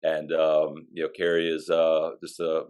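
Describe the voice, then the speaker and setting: A man with a very deep voice talks in an easygoing, rambling way, full of small fillers. He answers casual podcast questions about himself from his office. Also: completely flat delivery, a little slow, darkish tone, clean tone. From Pennsylvania. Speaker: athletic director.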